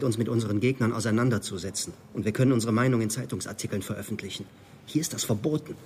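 A man's voice: medium pitch, darkish tone, a little hiss or hum, lightly acted. An actor playing a disillusioned young male student in a radio play, with a dry, weary, wary way of talking. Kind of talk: naturalistic radio play acting.

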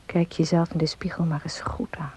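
A woman's voice: deep voice, level tone, normal speed, warm tone, slightly rough. An actress playing an earnest, devout and resolute young woman in a radio drama, with polite, formal diction. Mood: solemn, determined. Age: young adult. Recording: noisy old radio play recording.

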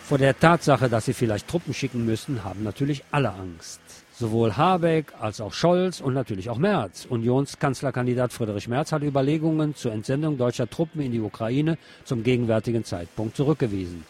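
A man's voice: mid-range voice, animated, fairly quick, few pauses, a little mellow, slight background noise. A man reading scripted news narration and commentary as a presenter.

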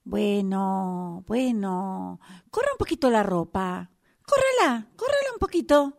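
A woman's voice: medium pitch, sing-song, takes it slowly, gravelly, full character voice. A woman with an Argentine accent playing an oblivious, teasing upstairs neighbour in an amateur radio play, cutesy and needling. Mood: dithering, placating. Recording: amateur workshop recording with background noise.

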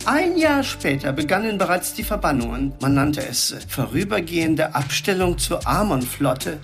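A talking drone robot from a science fiction radio play, mid-pitched and superior. It lectures with pedantic precision and treats its listener with dry, sarcastic condescension. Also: big pitch swings, normal speed, faint music behind. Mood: lecturing, smug. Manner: condescending, pedantic, drily mocking. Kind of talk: German science fiction radio drama acting.

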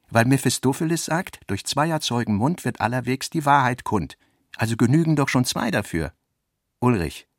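A man narrating literary prose and its dialogue in a measured, articulate way. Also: medium pitch, no room sound, studio quality.

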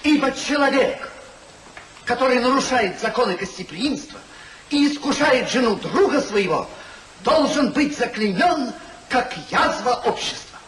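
An actor playing an unctuous gentleman who feigns noble feelings, declaiming pompously in 1950s radio style. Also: extremely high pitch, lively intonation, unhurried, exaggerated voice. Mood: sanctimonious, indignant. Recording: noisy 1950s radio play recording.

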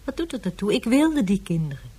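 An actress playing a wistful, stubborn mother in a science fiction radio drama, speaking in a soft, reflective way. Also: medium pitch, very animated, a little fast, slight background noise, natural voice. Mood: tender, insistent.

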